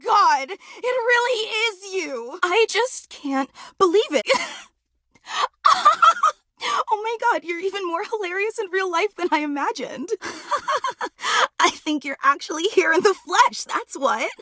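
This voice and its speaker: A woman's voice: very high-pitched, lively intonation, measured pace, sharp tone, no echo, clean studio sound, exaggerated voice. A woman voicing a nervous, starstruck female electric fan in a video game, gushing and rambling in a flustered, dramatic way. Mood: elated. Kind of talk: animated video game character voice acting.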